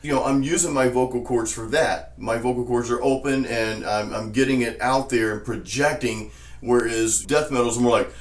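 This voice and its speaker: A man with a naturally low speaking voice, a vocal coach walking students through a technique. He talks in a calm, explanatory way with an even, middle pitch, and the room adds a noticeable echo. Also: moderate pace. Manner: relaxed, explanatory, says "you know" often. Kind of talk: casual spoken tutorial. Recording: roomy echo.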